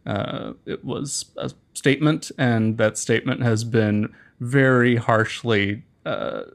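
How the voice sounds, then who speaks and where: Gravelly, halting man. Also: mid-range voice, fairly even intonation. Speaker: interview guest. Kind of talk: casual conversation.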